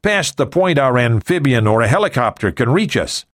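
Man playing dry, teasing crewmate, raised pitch. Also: very animated, moderate pace, no echo. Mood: casual. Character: dry, teasing male crew colleague.

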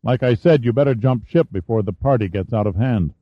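A man's voice: medium pitch, fairly quick, no echo, close to an everyday voice. A man voicing a cool male adventurer with an offhand, wry way of talking. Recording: dull, dry studio sound.

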